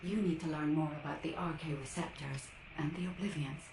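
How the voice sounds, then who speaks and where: A woman voicing a polite, helpful AI guide in a video game, measured and formal as she instructs. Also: low voice, steady tone, creaky. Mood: calm, helpful. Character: polite, helpful female AI guide assisting a soldier. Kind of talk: scripted video game dialogue. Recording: echoing, faintly noisy game audio.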